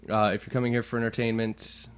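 A man co-hosting a casual podcast, talking louder than his partner and joking with plenty of swearing. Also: on the low side, flat delivery, fast, clean tone. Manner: louder, leans into the mic, joking and profane. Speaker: podcast co-host. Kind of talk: casual chat.

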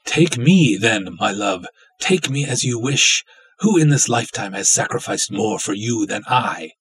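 A man voicing an obsessive, lovestruck villainous cultist in a dramatic story reading, florid, theatrical and menacing, with a husky voice. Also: fairly low pitch, lively intonation, a little slow, fairly bright tone, full character voice, low-quality recording. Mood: ardent.

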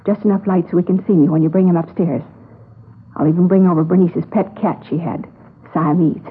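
Fast, husky, determined woman, old radio. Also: on the low side, steady tone, phone-quality sound. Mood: insistent, confident. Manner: earnest, urgent. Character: determined, insistent woman, twin of missing wife. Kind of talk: 1950s radio drama acting. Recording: thin, band-limited old radio broadcast.

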